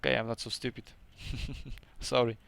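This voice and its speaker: A man's voice: mid-range voice, level tone, normal speed, vocal fry, a bit bright. Polish-accented man commentating a game. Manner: chatty, laughs often, hesitant second-language phrasing. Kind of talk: casual gameplay commentary.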